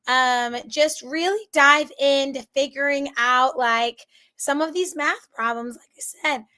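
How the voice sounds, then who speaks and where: A woman's voice: high voice, pushed and bright. An American woman teaching an online class in a casual, bubbly, rambling way, her voice strained by a cold. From central Florida, United States. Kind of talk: casual chatty live lesson.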